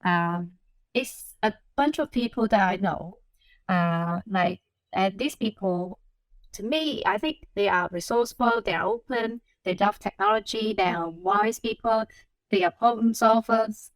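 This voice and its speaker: A woman from Hong Kong talks as a podcast guest, thinking aloud and filling pauses with "like" and "um." Her recording is dry and close. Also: mid-range voice, no room sound, poor audio. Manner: reflective, frequent "like" and "um" fillers. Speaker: assistant professor, podcast guest. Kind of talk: conversational interview answers.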